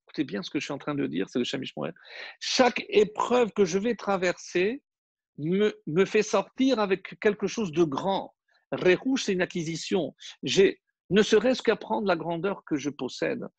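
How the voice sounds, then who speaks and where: A man's voice: high-pitched, expressive, a little slow, creaky, slightly rough, no echo. A man, a rabbi whose first language is not French, giving an emphatic Torah class and calling out to his listeners. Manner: emphatic, addresses listeners directly.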